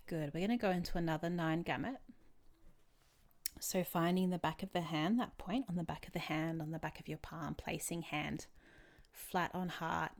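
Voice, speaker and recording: A woman leading a guided tapping session as a therapist, giving gentle, measured step-by-step instructions with soothing pauses. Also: sits low, steady tone.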